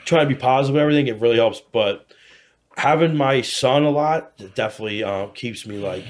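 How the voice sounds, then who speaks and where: Casting: American male, 30s, mid-pitched voice, loose candid chat, swears freely, rambles and doubles back. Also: a little fast. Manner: profane, rambling, repeats himself, frequent "you know". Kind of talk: casual candid podcast chat.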